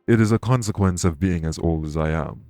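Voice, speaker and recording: A man playing a courteous, dour immortal king in an audio roleplay, speaking in a formal, measured way. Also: deep voice, darkish tone, no echo, faint music behind. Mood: somber, dour. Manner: formal, measured, gravely polite. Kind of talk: immersive audio roleplay acting addressed to listener.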